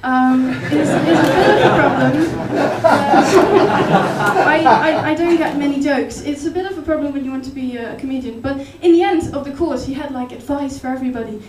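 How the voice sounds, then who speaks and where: High-voiced Dutch woman doing stand-up. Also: moderate pace, echoey room, distant mic, over a noisy crowd, heavy background noise. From Netherlands. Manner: rambling, hesitant, deadpan absurd. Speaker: stand-up comedian. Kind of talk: stand-up comedy set. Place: comedy club stage. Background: audience laughter.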